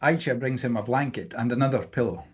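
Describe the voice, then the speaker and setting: Male narrator, husky voice, reads script directions for a drama table read. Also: mid-range voice, quick pace, muffled recording. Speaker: stage directions reader.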